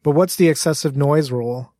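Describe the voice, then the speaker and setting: A man with a slightly high voice in a dry, close recording. He chips into loose comedy chatter in a matter-of-fact way. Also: brisk, dark tone, no room sound. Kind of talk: casual comedic banter.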